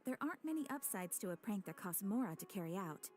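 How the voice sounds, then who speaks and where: A woman's voice: medium pitch, very animated, darkish tone, slight background noise, close to an everyday voice. Woman voicing a formal guild receptionist. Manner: formal, measured, courteous. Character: polite female guild receptionist, calm and formal. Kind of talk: game cutscene voice acting. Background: game music.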